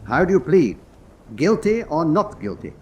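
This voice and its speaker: An actor playing a stern senior officer presiding over a military tribunal, speaking formally and with deliberate command. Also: male voice, on the high side, very animated, darkish tone, slightly rough, dull audio. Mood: stern, grave. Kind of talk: dramatic radio acting.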